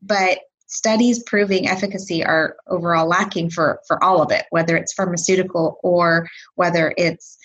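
Woman, pediatrician, co-host, measured, lowish, husky. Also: steady tone, a bit bright, lo-fi sound. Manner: measured, explanatory, hedging. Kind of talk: casual podcast chat.

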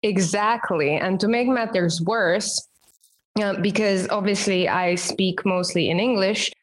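Female guest, Romanian native speaker, coach, measured formal delivery, mid-pitched. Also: fairly even intonation, poor audio. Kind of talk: podcast interview answers.

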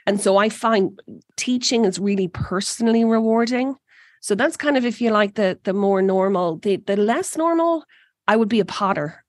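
A woman's voice: medium pitch, lively intonation, moderate pace, no echo, studio quality. A woman in her forties with an Irish accent, the guest in an interview, talking with enthusiasm and often restarting her sentences. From Ireland. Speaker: energy company president, guest. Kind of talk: interview conversation.

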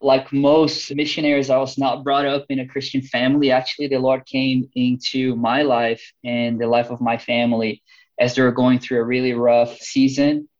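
A man's voice: medium pitch, little pitch movement, normal speed, lo-fi sound. A man in his thirties from Brazil with a Brazilian accent, a missionary guest talking eagerly on a podcast with many restarts. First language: Portuguese. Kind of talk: podcast interview.

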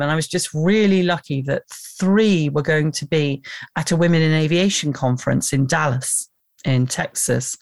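A woman, a documentary producer and presenter, telling animated stories in an interview and laughing often. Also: sits low, creaky, dry recording. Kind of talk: conversational interview storytelling.

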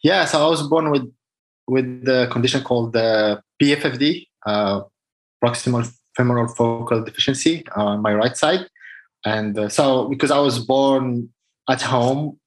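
A man's voice: mid-range voice, normal speed, poor audio. Man telling his story. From Morocco. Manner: earnest, many fillers, simple non-native phrasing. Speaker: guest athlete. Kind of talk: casual interview answers.

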